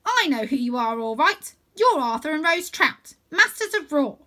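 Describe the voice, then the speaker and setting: A woman's voice: high voice, very animated, moderate pace, bright, forceful tone, pitched above the performer's own voice, full character voice. A woman voicing a tough, mean eight-year-old girl who leads a gang in a storybook, bossy and sneering.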